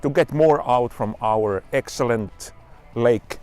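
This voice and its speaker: A man from Finland with a Finnish accent, giving a testimonial in non-native English, pausing between short phrases. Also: mid-range voice, darkish tone, faint music behind. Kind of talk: informal spoken testimonial.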